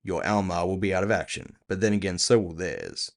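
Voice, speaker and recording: A man playing a gruff, impatient cyberpunk bounty hunter, terse and sardonic, in an audio drama. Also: low-pitched, fairly quick. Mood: businesslike.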